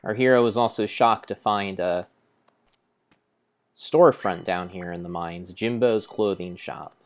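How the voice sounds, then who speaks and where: Young guy reading out a game like an epic tale, real low voice, takes his time, solemn. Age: young adult. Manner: solemn, deliberate, theatrical storyteller. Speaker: game streamer. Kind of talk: dramatic storybook-style narration over gameplay.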